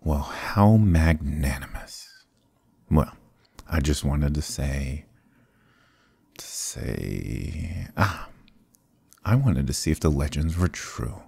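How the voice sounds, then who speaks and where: Male actor as sly fantasy rogue for ASMR roleplay: extremely low, gravelly voice, glib courtly flattery, evasive pauses. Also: no echo, heavily acted. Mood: flattering, wheedling. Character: sly, charming adventurer thief, smooth-talking and flattering. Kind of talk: fantasy ASMR roleplay acting, close and soft.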